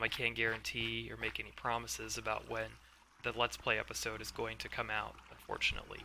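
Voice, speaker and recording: A man with a hoarse voice from being under the weather, hosting a casual gameplay commentary and explaining things step by step with many fillers. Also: mid-range voice, bright, forceful tone. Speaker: let's play host. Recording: game music playing behind voice.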